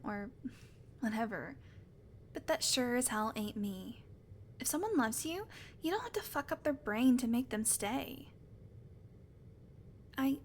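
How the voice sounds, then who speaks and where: A woman's voice: high-pitched, slight background noise. A woman playing a sardonic, teasing, foul-mouthed female vampire in a conversational audio roleplay. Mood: frank, matter-of-fact. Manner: teasing, sarcastic, casual swearing. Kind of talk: audio roleplay acting, conversational, addressed to listener.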